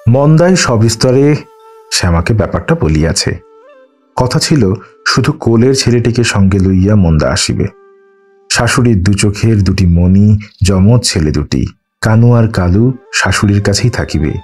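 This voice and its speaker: A man's voice: lowish voice, lively intonation, normal speed, pauses often, darkish tone. A man reading a literary story aloud as a scripted audiobook narration. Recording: music under the voice. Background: background music.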